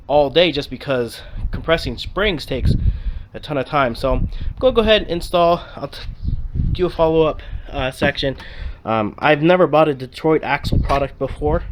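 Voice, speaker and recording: A man with a stuffy, sniffly voice talks through a car repair in a casual, halting way, stopping and restarting often. His pitch sits at an ordinary middle for a man. Faint outdoor sounds sit behind him. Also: slight background noise. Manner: halting, many ums and restarts. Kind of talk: casual how-to explanation.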